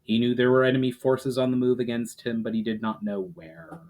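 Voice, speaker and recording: A man reading fantasy fiction aloud as a dramatic, measured narration. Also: mid-range voice, fairly even intonation, a little mellow.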